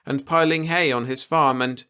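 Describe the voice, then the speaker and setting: A man's voice: mid-range voice, steady tone, moderate pace, bright, forceful tone, clean tone, pitched above the performer's own voice, close to an everyday voice. A man voicing an innocent, trusting little boy in a storybook reading, earnest and naive in simple words. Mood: earnest, straining.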